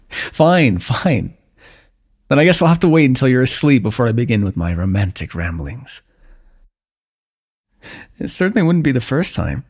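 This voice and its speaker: A man performing an intimate fantasy roleplay for ASMR, speaking softly in a teasing, affectionate way. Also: mid-range voice, big pitch swings, measured pace, long pauses, husky. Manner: soft, teasing and affectionate, with frequent light laughs.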